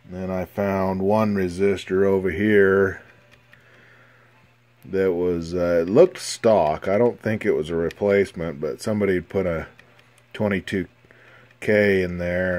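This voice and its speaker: A man giving a casual, halting, low-key progress report on a repair, recorded on a phone at a workbench. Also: fairly even intonation, a little mellow. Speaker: hobbyist radio restorer. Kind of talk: casual narrated progress video. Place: indoors at an electronics workbench. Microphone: handheld phone.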